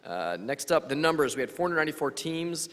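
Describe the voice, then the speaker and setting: Fast-talking guy presenting on stage, super casual, big hall echo. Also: fairly high pitch, big pitch swings, fairly bright tone. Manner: rapid, casual, enthusiastic. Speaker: capture the flag organizer. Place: large conference hall. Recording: reverberant conference hall.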